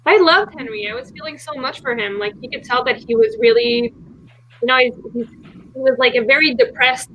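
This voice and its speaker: A woman's voice: high voice, moderate pace, a bit bright, dull audio, slight background noise. A woman guest on a live online book panel, speaking heartfelt and saying "you know" often. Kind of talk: casual book discussion.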